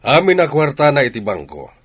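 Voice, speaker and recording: A man playing a stern, controlling father in an Ilocano audio drama, with a husky voice. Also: medium pitch, wildly swooping pitch, normal speed, no echo. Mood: stern, threatening. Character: stern, controlling rich father threatening disinheritance.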